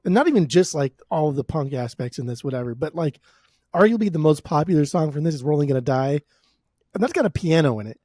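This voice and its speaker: A man's voice: a little high, expressive, fast talker, warm tone, dry recording. An American man co-hosting a podcast, talking casually about music in a profane, slangy, opinionated way. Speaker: podcast co-host.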